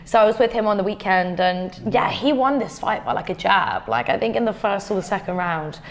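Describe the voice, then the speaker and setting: A woman's voice: mid-range voice, expressive, a little fast. A woman as an interview guest, chatting casually with frequent fillers and swearing. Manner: chatty, swears freely, fills with "like". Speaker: interview guest, influencer boxer.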